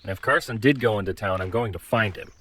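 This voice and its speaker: A man's voice: lowish voice, quick pace, fairly bright tone, a little hiss or hum, close to an everyday voice, poor audio. A man playing an earnest, mild traveler in an audio drama, speaking politely and a little hesitantly. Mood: resigned. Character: earnest, mild man stranded in big robot body.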